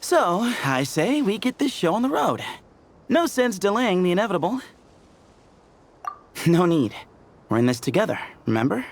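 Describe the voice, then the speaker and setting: A man's voice: high-pitched, big pitch swings, normal speed, long pauses, a little hiss or hum. A man voicing an easygoing young team leader in a video game, breezy and wry. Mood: breezy, confident. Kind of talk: video game character acting.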